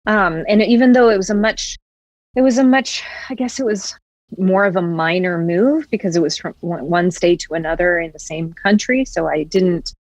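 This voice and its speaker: A woman's voice: fairly low pitch, moderate pace, dry recording, low-quality recording. A young woman from West Virginia, raised in Florida, talking reflectively as a podcast guest. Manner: reflective, many "like" fillers. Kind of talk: casual conversation.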